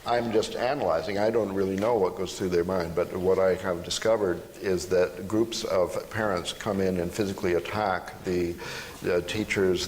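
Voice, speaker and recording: Low-voiced man in a reverberant hall. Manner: halting, reflective, wry. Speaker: panel speaker. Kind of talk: informal public discussion. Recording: noisy, long hall reverb, live event.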